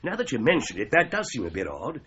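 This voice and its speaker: An actor playing a wry, breezy solicitor in a 1980s radio drama, with an English accent and an offhand, drily joking manner. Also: male voice, mid-range voice, huge pitch swings, fast, fairly bright tone, breathy edge, heavily acted. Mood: puzzled, wry. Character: wry, flippant male solicitor, breezy and clubbable. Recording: dull, noisy 1980s radio recording.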